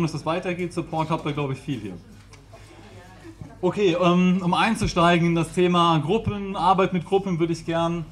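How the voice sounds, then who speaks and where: A man's voice: high-pitched, fairly bright tone. A man in his thirties from the Rhineland, giving a chatty, informal talk to an audience with a handheld mic in a small brick-walled room. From Rhineland, Germany. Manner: chatty, laughs often, gestures.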